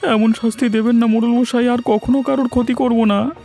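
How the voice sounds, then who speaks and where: A man's voice: extremely high pitch, level tone, a little fast, gravelly, warm tone, faint music behind, pitched above the performer's own voice. A man voicing a flustered, obsequious village schemer in a cartoon. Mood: pleading. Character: fawning, excitable, cowardly village man, pleading schemer. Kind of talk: Bengali cartoon voice acting.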